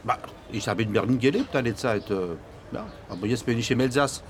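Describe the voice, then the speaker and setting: An actor playing a chatty but wary Moroccan flea-market trader in a radio drama. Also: male voice, medium pitch, fairly quick, slight background noise, natural voice. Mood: wary, challenging.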